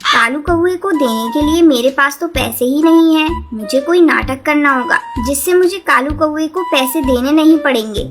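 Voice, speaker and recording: Cartoon casting: woman to play a scheming, scolding bird mother-in-law, high-pitched and theatrical, over prominent music. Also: normal speed. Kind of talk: children's cartoon voice acting.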